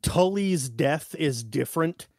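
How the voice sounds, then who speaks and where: A man co-hosting a podcast, casually talking through his notes in a halting way and often saying "like". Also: on the high side, animated, a little mellow, dry recording, clean studio sound. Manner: halting, peppered with "like". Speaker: podcast co-host.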